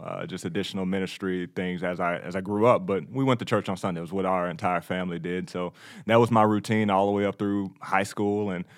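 An American man from Texas, a podcast co-host, telling his own story in a casual, conversational way. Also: sits low. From Texas, United States.

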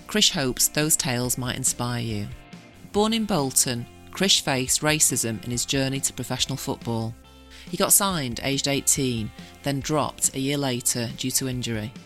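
A woman with a Manchester accent hosting a podcast interview, chatty and quick to laugh. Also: very deep voice, fairly bright tone, faint music behind. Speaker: podcast host.

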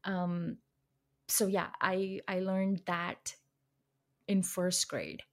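A woman's voice: on the low side, level tone, extremely slow. A young woman from India with an Indian accent, a guest on a podcast, talking casually with many fillers and frequent laughter. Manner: chatty, laughs often, many fillers and restarts. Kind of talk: casual conversation.

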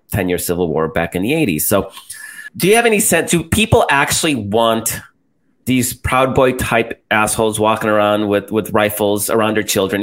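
A man's voice: on the low side, fairly bright tone. A man from El Salvador hosting a political interview, talking bluntly and pointedly with some mild profanity. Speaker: host.

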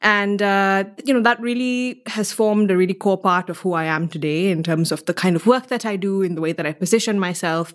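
A young woman from Kenya, telling personal stories as a podcast guest in a reflective, articulate way. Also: fairly low pitch. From Mombasa, Kenya. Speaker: podcast guest, theatre artist. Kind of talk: conversational interview, personal storytelling.

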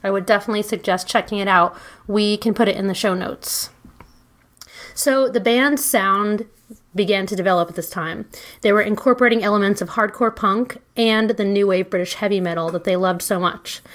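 A woman's voice: medium pitch, normal speed, a bit bright. Brief: middle-aged woman, casual podcast co-host, chatty and loose, filler-heavy delivery. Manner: chatty, laughing asides, frequent ums. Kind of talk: casual podcast chat and band history.

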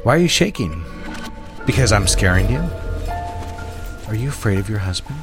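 Creepy controlling husband, deep-ish voice, bossy and threatening, audio drama, music behind. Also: male voice, animated, moderate pace. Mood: menacing demanding. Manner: interrogating, domineering, clipped orders. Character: controlling, menacing, coldly commanding husband. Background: music bed.

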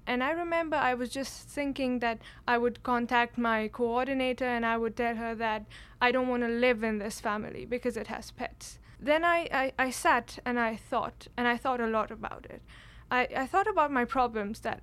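A woman's voice: on the high side, fairly bright tone, clean tone. A young woman, earnestly telling a personal story with frequent restarts. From Kashmir, India. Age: late teens. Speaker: exchange student.

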